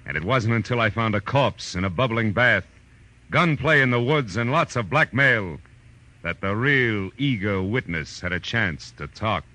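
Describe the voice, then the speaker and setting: An actor playing a world-weary, wry private detective with an American accent in 1940s radio style. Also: male voice, on the low side, animated, moderate pace, sharp tone, poor audio. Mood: teasing, dramatic. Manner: wry, musing. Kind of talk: 1940s radio acting, hardboiled narration. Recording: vintage radio drama with music.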